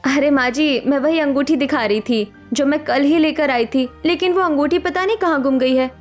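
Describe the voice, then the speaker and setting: A woman's voice: high-pitched, normal speed, soft background music, natural voice. A woman voicing an assertive, shrewd young city daughter-in-law in a cartoon family drama. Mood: coaxing.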